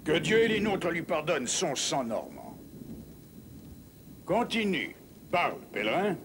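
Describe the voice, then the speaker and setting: A man's voice: on the high side, sing-song, unhurried, background noise. A man playing a proud, stern middle-aged lord in a cartoon, speaking in a formal, commanding way. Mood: stern, guarded. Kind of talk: dubbed cartoon acting.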